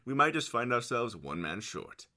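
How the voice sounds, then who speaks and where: A loyal captain of the royal guard , acted by a man, with a forceful, bright voice that swings widely in pitch. He is kindly and deferential, full of avuncular concern, in a fantasy audio drama. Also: very animated, moderate pace, no room sound, studio quality. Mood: gently conspiring.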